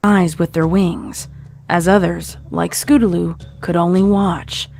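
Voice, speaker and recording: A woman's voice: on the low side, fairly even intonation, a little slow, gravelly, darkish tone, a little hiss or hum. A woman reading a scripted story as its narrator, in a dramatic storytelling style. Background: faint music.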